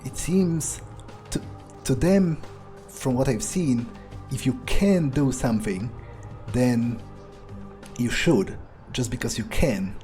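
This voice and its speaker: An unhurried, mellow voice muses in character as a cynical, scheming vampire during a game playthrough. The delivery is unscripted and halting, with casual profanity, over faint music. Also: mid-range voice. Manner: hesitant, musing, casually profane. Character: scheming, amoral vampire musing on own plans. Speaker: player narrating a roleplay game playthrough in character. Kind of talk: unscripted in-character monologue, thinking aloud.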